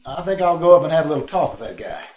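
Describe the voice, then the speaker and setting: A man's voice: fairly high pitch, big pitch swings, rapid-fire, darkish tone. A man playing a cocky, tough, wisecracking amateur sleuth in the style of a 1940s radio drama. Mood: calm, confident. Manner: wisecracking, self-assured. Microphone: video call. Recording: echoey online-call recording with background noise.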